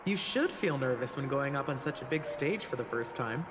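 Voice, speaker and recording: A woman's voice: rumbling low, expressive, moderate pace, noisy background. A woman playing an easygoing, plain-spoken adult female confidante in a video game, casual and matter-of-fact. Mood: casual, reassuring. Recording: long reverb, music under the voice. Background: background music.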